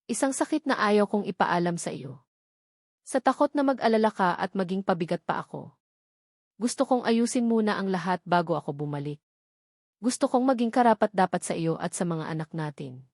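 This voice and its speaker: A woman's voice: mid-range voice, expressive, normal speed, a little mellow, no echo. A woman narrator plays a man returning to his old love in an audio romance. He is bitter yet pleading, earnestly justifying himself in a dry recording. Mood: confessing. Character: bitter, pleading man, a regretful former lover.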